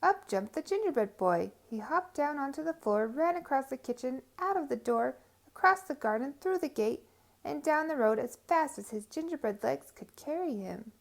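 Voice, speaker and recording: Female storyteller for children's book: high, creaky-edged voice, expressive dramatic delivery. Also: moderate pace. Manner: dramatic, emphatic phrasing.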